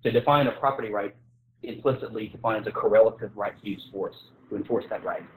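A man's voice: on the low side, fast, poor audio. A man speaking as a guest author in an informal intellectual discussion, arguing his case and often rephrasing mid-sentence.

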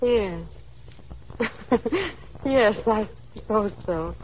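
A woman's voice: fairly high pitch, animated, extremely slow. An actress playing a chatty, anxious young small-town woman putting on airs in a 1930s radio drama, with a gushing manner and nervous little laughs. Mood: wistful, brave front. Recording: dull, hissy 1930s radio recording.

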